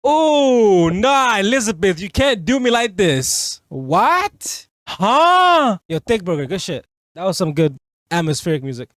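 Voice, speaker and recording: A man commentating on a playthrough as he goes, reading game text aloud and reacting in a slangy, incredulous, expressive way. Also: sits high, unhurried, no echo, studio quality. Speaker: gaming video creator.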